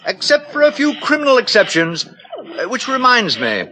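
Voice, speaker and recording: An imperious male director in a radio play. His voice is high and bright, swinging widely as he declaims in grand, pompous speeches. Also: huge pitch swings, normal speed, heavily acted. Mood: officious. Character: pompous, authoritarian male director of a futuristic hatchery. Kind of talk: radio drama acting.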